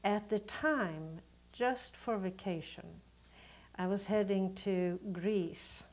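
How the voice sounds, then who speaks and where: A middle-aged or older woman from Sweden, a native Swedish speaker, telling her story as an interview guest in a measured, deliberate, reflective way. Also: mid-range voice, darkish tone. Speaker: guest, Unitarian Universalist minister.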